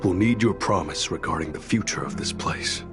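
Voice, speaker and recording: A man playing a grave, weary, formal community leader in a video game, speaking in a measured, solemn way. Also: low voice, music in the background.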